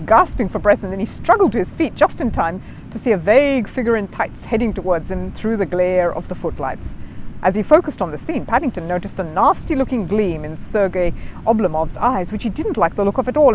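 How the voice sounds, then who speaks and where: A woman narrates a children's storybook expressively. Her voice sits at a medium pitch and her delivery is animated. There is clear noise behind her. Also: fairly quick, poor audio. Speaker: storyteller reading a children's book aloud.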